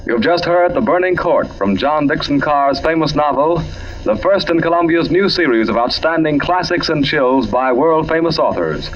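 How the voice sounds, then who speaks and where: A man's voice: a little high, animated, moderate pace. A man announcing in a formal 1940s broadcast style, polished, grandiose and emphatic. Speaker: radio announcer. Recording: muffled 1940s radio recording.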